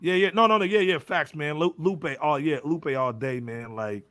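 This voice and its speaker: A fairly high-voiced American man hosting a casual music panel. His talk is slangy and loose, with phrases repeated for emphasis, and the sound is close and dry. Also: normal speed, fairly bright tone, no echo. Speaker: host of a music podcast panel.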